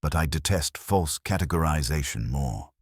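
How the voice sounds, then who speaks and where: A man's voice: very deep voice, lively intonation, normal speed, breathy edge, dry recording, clean studio sound, full character voice. A man voicing an imperious, pedantic detective in a mystery audiobook, speaking in terse, formal, pompous phrases. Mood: imperious, dry. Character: imperious, pedantic, heavyset middle-aged male genius detective.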